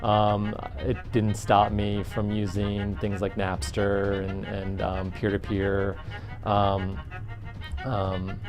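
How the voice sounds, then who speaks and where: Lowish-voiced man explaining, interview style. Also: completely flat delivery, slow pace, over music. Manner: informal, explanatory. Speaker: audio production teacher. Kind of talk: documentary interview.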